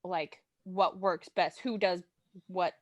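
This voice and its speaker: A woman's voice: fairly low pitch, steady tone, slow pace, no echo. A woman speaking informally as a guest in a casual podcast chat about games, acting out little reactions. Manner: informal, imitates sound effects and reactions. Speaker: podcast guest, listener of the show.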